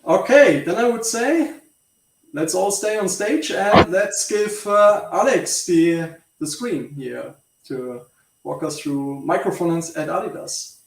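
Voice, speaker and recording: A man hosting an online meetup, introducing guests with quick, upbeat affirmations. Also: high-pitched, vocal fry, recorded from a distance, slight background noise. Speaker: meetup host. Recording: roomy sound from off the mic.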